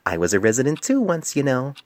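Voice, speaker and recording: A man's voice: medium pitch, huge pitch swings, quick pace, a little mellow, no room sound, pitched above the performer's own voice. A man voicing a smug, teasing middle-aged female pony doctor with a droll delivery for a fan fiction reading.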